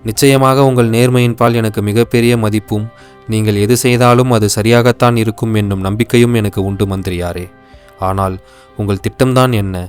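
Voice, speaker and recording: A man's voice: lowish voice, steady tone, brisk, faint music behind, close to an everyday voice. An actor voicing a trusting old king in a cartoon, speaking in a regal, formal way. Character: trusting elderly male king. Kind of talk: cartoon voice acting.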